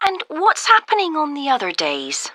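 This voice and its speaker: A woman's voice: high-pitched, wildly swooping pitch, moderate pace, pushed and bright, no room sound, clean studio sound, exaggerated voice. A woman playing a keen, polite visitor making enquiries in an acted listening exercise, eager and courteous. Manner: eager, courteous, clearly enunciated. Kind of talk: acted listening-test dialogue.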